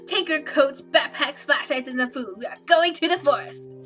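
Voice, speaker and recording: An overconfident young male friend from a visual novel, played by a woman. The voice is high and bright, full of pushy enthusiasm and teasing, with music playing behind it. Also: normal speed. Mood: eager, confident.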